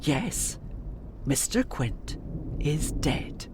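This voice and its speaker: A woman playing a fearful, deferential housekeeper in a gothic period audio drama, speaking haltingly in old-fashioned servant's phrases. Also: low voice, sing-song, noisy background, heavily acted. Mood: grave, reluctant. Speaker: actor in an audio play.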